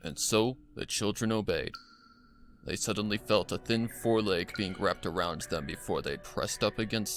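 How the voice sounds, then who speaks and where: Male narrator for dramatic horror fiction, lower register, light music bed underneath. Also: fairly bright tone. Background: background music.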